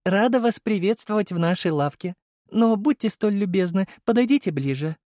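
A polite young shopgirl, as a man narrator puts her on, with a raised, swinging pitch. She speaks with genteel courtesy and a coquettish touch, in a close, dry audiobook sound. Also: animated, normal speed, a little mellow, no room sound. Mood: courteous. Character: polite, coquettish young woman shop clerk.

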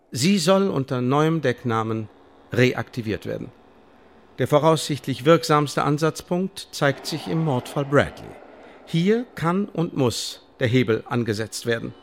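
An actor playing a coolly bureaucratic secret service officer in a radio play, speaking in measured, formal officialese. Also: male voice, mid-range voice, a little hiss or hum, close to an everyday voice. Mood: dry, official. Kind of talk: radio drama, dictated official report.

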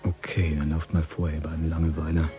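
A sardonic agent on a tedious stakeout in a radio drama. His voice is low and level as he mutters mocking asides to himself. Faint music sits underneath. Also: little pitch movement, fairly quick. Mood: bored, sardonic. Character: sardonic, bored male surveillance agent tailing a couple. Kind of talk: radio drama inner monologue.

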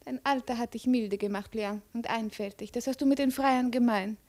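A high, imperious voice for a proud and mocking princess played by a woman. She speaks with deliberate, cold authority in the theatrical manner of 1950s radio drama. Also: fairly even intonation, a little mellow, natural voice. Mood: weary, condescending. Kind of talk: 1950s German radio play acting.

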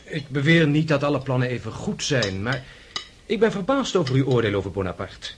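An actor playing a composed, earnest young prince and officer in a radio drama, speaking in a husky, measured, reasoning way, courteous but firm. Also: male voice, mid-range voice, sing-song, slight background noise, lightly acted. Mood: calm, firm.

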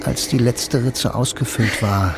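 A man's voice: medium pitch, a little slow, noisy background, lightly acted. An actor playing a wry, laconic, lonely blind man who narrates and talks in a noir radio drama. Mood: wry, reflective. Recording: music behind the voice. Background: music.

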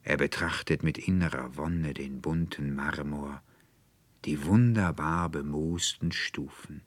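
Male narrator, very low voice, standard German. Unhurried, solemn, rapturous literary reading, breathy phrase endings. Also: no echo. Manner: solemn, rapturous, drawn-out delivery. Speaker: literary reader. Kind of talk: dramatic literary audiobook reading.

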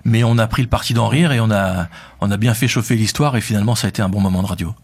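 A man with a Parisian accent, a radio host interviewed about his career, talking quickly with many fillers. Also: on the low side, darkish tone, slightly rough. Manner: fast, hesitant with many fillers. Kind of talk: conversational interview.

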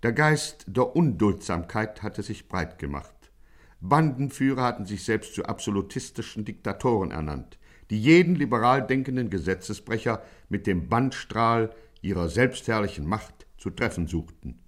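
An actor playing a pompous, mock-heroic narrator in a 1950s radio play, declaiming dramatically. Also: male voice, lowish voice, expressive, moderate pace. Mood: grandly ironic. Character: pompous mock-heroic male chronicler of a gangster.

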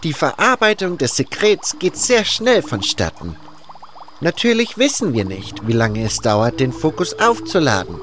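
A man's voice: fairly high pitch, huge pitch swings, measured pace, fairly bright tone, a little hiss or hum, voice pushed up, full character voice. A man voicing a pompous, grudging cult guru and alchemist in a fantasy audio drama, speaking with condescension. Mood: lecturing.

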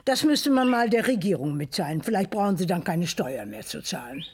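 An actress playing a chatty, credulous neighbour with an effusive conversational manner in a radio play. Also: female voice, on the low side, animated, brisk, a little mellow, breathy edge. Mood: impressed.

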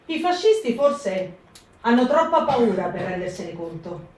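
A woman's voice: a little high, sing-song, a little slow, darkish tone. A woman voicing a frightened wartime woman helping partisans, in a reflective, anxious monologue. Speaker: actor in a radio reading. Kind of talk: stage reading for radio. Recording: echoey room, distant mic.

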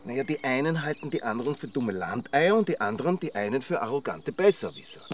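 A man's voice: mid-range voice, expressive, fast, recorded from a distance, slight background noise, close to an everyday voice. Village gossip for radio play, male actor: Austrian, cagey, confiding, medium register, slightly off-mic. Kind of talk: radio drama dialogue.

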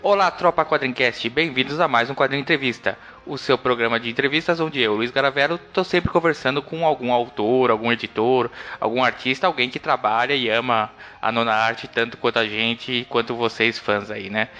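A man's voice: medium pitch, steady tone, a little fast, few pauses, pushed and bright, highs cut off, soft background music. A middle-aged Brazilian man hosting an interview show in a conversational style.

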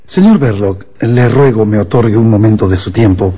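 An actor playing a courteous police inspector in a radio drama, probing politely and a little hesitantly, over music. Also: male voice, fairly low pitch, normal speed, dark tone, husky. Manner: polite, hesitant, circling his questions.

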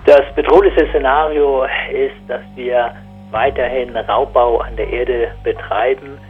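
A male expert gives serious, explanatory commentary in unscripted phrasing. His pitch stays fairly flat and in the middle. The recording is a little dull, with faint music underneath. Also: unhurried. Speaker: scientific expert.

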